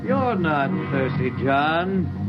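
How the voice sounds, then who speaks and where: A menacing alien in human disguise for a 1950s radio play. He is coldly insistent, dragging his words out extremely slowly in a high, swooping voice. Loud music sits behind him. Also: sing-song. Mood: cold menace. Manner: drawn-out, ominous, deliberate. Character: menacing male Martian posing as a brother, coldly insistent. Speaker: actor in a radio drama. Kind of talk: 1950s American radio drama acting. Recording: dull vintage radio recording, loud music.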